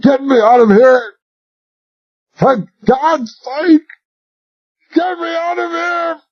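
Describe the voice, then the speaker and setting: A man's voice: high-pitched, big pitch swings, slow, breathy edge, pitched above the performer's own voice, heavily acted. A man doing a terrified choking captive. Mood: panicked. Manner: screaming, desperate, stammering. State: choking, out of breath. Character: terrified man begging for his life. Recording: dull lo-fi recording.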